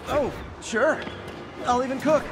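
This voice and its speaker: An actor playing an eager, wisecracking young American superhero scientist in a video game, chatty and a little hesitant. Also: male voice, extremely high pitch, unhurried. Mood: chatty, curious. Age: young adult. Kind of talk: naturalistic video game voice acting. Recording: game audio with clear background noise.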